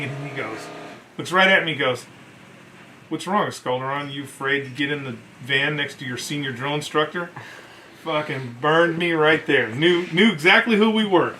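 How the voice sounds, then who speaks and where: A middle-aged American man, a veteran, casually telling profanity-laced service stories in an animated way, acting out what others said. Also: mid-range voice, slight background noise, rough recording. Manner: animated, profane, acts out quoted dialogue. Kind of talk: casual anecdotal storytelling.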